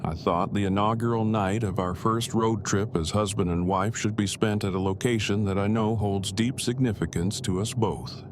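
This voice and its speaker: An actor playing an earnest, nerdy husband in an audio drama, speaking in a stiffly formal, wordy, precise way. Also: male voice, on the low side, steady tone, moderate pace, hardly any pauses, lightly acted. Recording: clear background noise over the dialogue.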